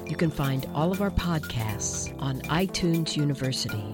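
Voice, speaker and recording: A woman's voice: low-pitched, lively intonation, moderate pace, over music. A woman hosting a public affairs radio show, asking brief, friendly questions and closing the program.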